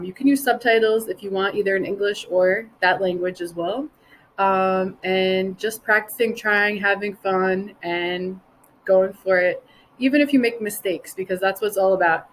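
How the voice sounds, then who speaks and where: A woman's voice: medium pitch, a little hiss or hum, rough recording. A woman giving an informal educational talk in an enthusiastic, encouraging manner.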